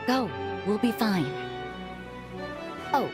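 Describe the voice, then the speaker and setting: A woman playing a confident, warm and teasing leader of a sunken city in a video game cutscene. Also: medium pitch, lively intonation, extremely slow. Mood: wistful, resolute, then reassuring. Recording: music behind the voice.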